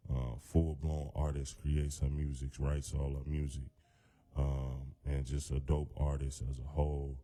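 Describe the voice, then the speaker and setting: A man with a very deep voice, a hip-hop artist talking as a guest in a radio studio in a loose, laid-back way full of fillers. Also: monotone, unhurried, warm tone. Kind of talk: casual radio interview.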